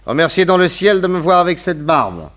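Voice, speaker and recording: An actor playing a shrewd, self-assured detective in a French radio drama, explaining things with theatrical assurance. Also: male voice, high-pitched, very animated, a little fast, fairly bright tone. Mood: confident, amused. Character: shrewd, self-assured male detective planning his disguise.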